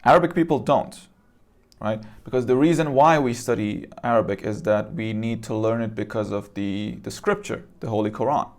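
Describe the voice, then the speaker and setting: A man's voice: lowish voice, normal speed, a little mellow. Casual man explaining things. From Iran. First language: Persian. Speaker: presenter. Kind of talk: casual explanatory talk to viewers.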